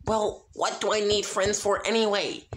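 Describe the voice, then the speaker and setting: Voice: mid-range voice, level tone, moderate pace, pitched above the performer's own voice, natural voice. A reader voicing a proud, bitter young female villain whose bravado is cracking, in a noisy home recording. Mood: defiant, bitter.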